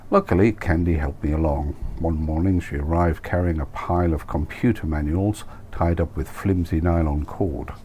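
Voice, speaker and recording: Male author-narrator, very low and soft-edged, wry mannered audiobook read with noticeable background noise. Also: normal speed, few pauses. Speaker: author reading his own novel. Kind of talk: scripted audiobook narration, wry first-person. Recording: clear steady background noise.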